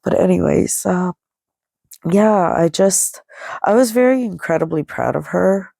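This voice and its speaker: Slow, nasal, creaky-voiced woman storyteller. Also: lowish voice, lively intonation, dark tone, no echo. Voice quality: nasal, stuffy. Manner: rambling, conversational, quoting dialogue as she goes. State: congested with a head cold. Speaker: podcast host. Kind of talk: casual personal storytelling. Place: indoors, lying down, dry close sound.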